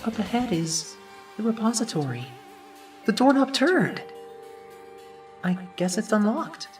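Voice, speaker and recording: A woman's voice: a little high, very animated, measured pace, darkish tone, soft background music. Woman voicing an earnest teenage boy, slightly distant. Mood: uneasy, tense. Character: earnest, uneasy teenage boy student narrating an investigation. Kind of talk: anime game fan dub, inner monologue.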